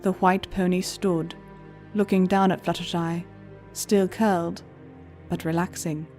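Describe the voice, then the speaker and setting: A woman reads scripted story narration in a low, very mellow and soft-toned voice. Music sits behind her words. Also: flat delivery, a little slow.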